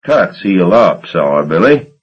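A man voicing a brutish, menacing river thug who taunts slowly. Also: sits low, sing-song, exaggerated voice. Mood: taunting menace. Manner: slow, taunting, threatening. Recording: dull lo-fi recording.

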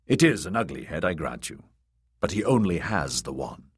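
A man voicing a weary, bitter one-handed knight who speaks low, grim and clipped. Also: sing-song, normal speed, husky, exaggerated voice. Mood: menacing. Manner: low, grim and clipped, menacing when threatening.

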